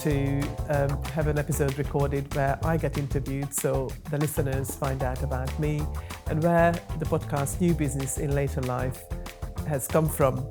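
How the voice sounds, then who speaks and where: Low-voiced woman in her fifties, nasal. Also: little pitch movement, moderate pace, over music. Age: 50s. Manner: chatty, frequent ums. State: nasal from a cold. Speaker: podcast host and guest. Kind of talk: casual interview chat. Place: small recording studio.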